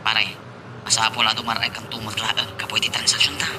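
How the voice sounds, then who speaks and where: A man's voice: lowish voice, completely flat delivery, a little fast, pushed and bright. A man playing a chummy, sly drug-dealing crony with an Ilocano Filipino accent in an amateur audio drama. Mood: scheming. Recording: clear ambient noise behind.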